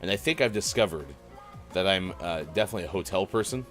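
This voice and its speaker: Low, slightly rough-voiced male host. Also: expressive, fast talker, fairly bright tone, soft background music. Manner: easygoing, self-mocking banter. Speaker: morning show host. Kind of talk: casual live-stream morning show chat.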